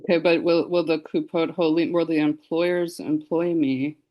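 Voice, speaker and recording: A woman's voice: deep voice, flat delivery, normal speed, dull audio. A woman doctor asking a long question in a webinar over a video call, hesitant and full of restarts. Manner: hesitant, many restarts and fillers.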